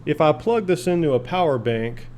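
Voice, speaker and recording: Casting: male hobbyist, warm soft-edged voice, unscripted, folksy, plain-spoken, happy to ramble while demonstrating equipment. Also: on the high side, lively intonation, normal speed, a little hiss or hum. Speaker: shortwave radio hobbyist asking viewers for advice. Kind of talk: casual unscripted demonstration and chat.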